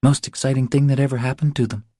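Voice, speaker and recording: A man's voice: mid-range voice, steady tone, quick pace, dark tone, no room sound, studio quality, voice pushed up, lightly acted. A man voicing a weary young mother who explains things in short, clipped sentences in an audiobook story. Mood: matter-of-fact.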